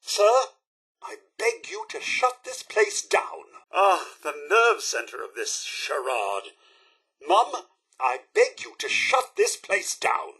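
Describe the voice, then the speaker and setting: An actor playing a prim, courteous robot butler in a video game, with a British accent and a formal, theatrical, dryly witty manner. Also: male voice, high-pitched, wildly swooping pitch, slow, gravelly, sharp tone, slightly rough, heavily acted. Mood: earnest. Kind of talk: video game character voice lines.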